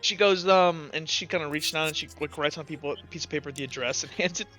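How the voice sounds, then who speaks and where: A man's voice: a little high, fast, bright, forceful tone, faint music behind, poor audio. A man casually narrating a tabletop game as game master, joking and informal.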